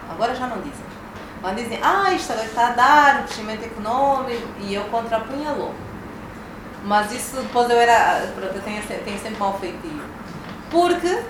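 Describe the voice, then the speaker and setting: Woman debating, emphatic, a bit high, far from mic in an echoey noisy room. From Angola. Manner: emphatic, argumentative, repeats words. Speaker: debate speaker. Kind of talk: public debate. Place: hall at a public debate.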